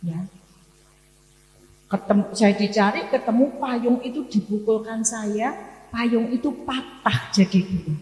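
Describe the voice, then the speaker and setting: A woman in her sixties with a Javanese accent, preaching with personal stories in an emphatic, deliberate way, with pauses and repeated phrases. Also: on the high side, darkish tone. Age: 60s. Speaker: Islamic preacher giving a morning study lecture. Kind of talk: sermon with personal storytelling. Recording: echoey hall with long reverb.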